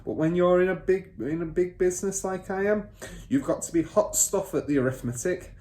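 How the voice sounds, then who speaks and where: A man voicing a boastful, bullying father and crooked car dealer in a children's storybook, loud, pompous and emphatic. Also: high voice, fairly quick, pitched above the performer's own voice, lightly acted. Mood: pompous, bossy. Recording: roomy echo, faint background noise.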